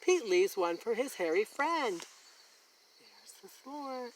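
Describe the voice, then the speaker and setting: Slow, lively woman reading to children. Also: a little high, very noisy. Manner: deliberate, expressive, pointing things out to young listeners. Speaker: story time host reading a picture book aloud. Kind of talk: children's read-aloud narration.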